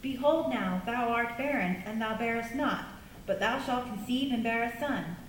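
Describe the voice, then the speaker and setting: A woman's voice: normal speed, natural voice. Woman as a solemn angel, echoey and distant. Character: solemn angel announcing a birth in scripture words. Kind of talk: children's puppet show Bible drama. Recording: echoey distant room with rumble.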